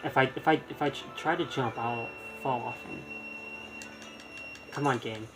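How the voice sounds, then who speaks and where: A man giving casual, halting live commentary as he streams a video game, often repeating his words. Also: mid-range voice, over music.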